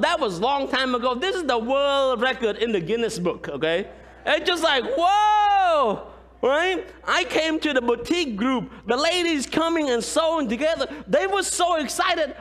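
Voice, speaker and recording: High-voiced pastor with a Khmer accent. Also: male voice, moderate pace. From Cambodia. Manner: lively, gesturing, asks the congregation "right?" often. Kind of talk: sermon. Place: large church sanctuary, indoors. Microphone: far camera, room pickup. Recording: long church hall reverb.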